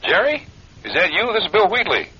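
A man playing a friendly, brisk doctor calling on the telephone in a radio drama, chatty and energetic. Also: sits high, slightly rough, heavily acted. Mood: cheerful. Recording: dull, lo-fi radio recording.

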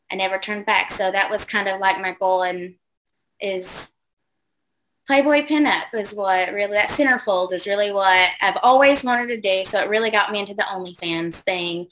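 A woman's voice: medium pitch, bright, forceful tone, a little hiss or hum, rough recording. A woman in her thirties, a guest on a casual call-in show, chatting loosely with lots of ums and likes. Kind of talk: casual interview answers.

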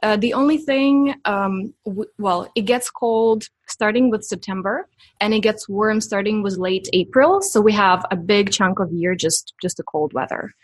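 A woman's voice: medium pitch, moderate pace, no echo. A woman from Ukraine with a Ukrainian accent, chatting in a friendly way as a podcast guest. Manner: friendly, chatty, laughs easily. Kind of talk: casual interview chat.

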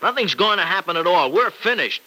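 Very sharp-voiced, conflicted young husband. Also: male voice, high-pitched, very animated, a little fast, exaggerated voice. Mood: tense, pleading. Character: earnest, conflicted married young man in soap drama. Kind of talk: 1940s radio soap opera acting. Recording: noisy vintage radio drama recording.

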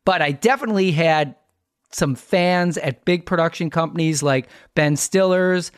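Male American podcast host, middle-aged, wry and self-deprecating, higher voice with a dry, roomless sound. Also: moderate pace, clean tone, no echo. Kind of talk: conversational storytelling.

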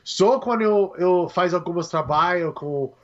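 Homem canadense, convidado, português como segunda língua, procura as palavras, tom um tanto alto, som fosco. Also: male voice, high voice, highs cut off. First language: English. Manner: halting, searching for words in a second language. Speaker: guest. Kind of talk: casual interview chat.

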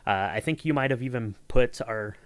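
A man's voice: medium pitch, normal speed, no echo. A man hosting a podcast in casual chat, talking in a rambling, hedging and affable way. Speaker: podcast host and audio editor.

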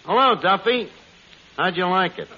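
A man's voice: sits high, expressive, normal speed, faint music behind. An actor playing a brash, wisecracking New bar manager who mangles his English in 1940s radio comedy. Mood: sheepish, blunt. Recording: muffled 1940s radio, loud studio audience.

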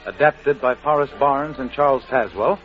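A man announcing and narrating a 1940s radio play in a measured, theatrical manner. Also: medium pitch. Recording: dull vintage radio, music behind.

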